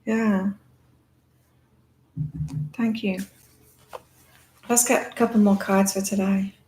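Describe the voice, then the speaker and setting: A woman hosting a live psychic card-reading chat with a calming voice, talking in a rambling, reflective way with many fillers. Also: mid-range voice, takes it slowly, slight background noise, low-quality recording. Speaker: psychic reader and live-stream host. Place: in Thailand.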